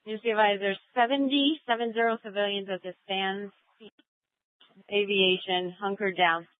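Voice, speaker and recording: A woman's voice: medium pitch, fairly even intonation, normal speed, no room sound. A woman working as a police dispatcher, relaying procedural information over radio and repeating key details. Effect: police radio transmission. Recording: tinny narrow-band police scanner audio.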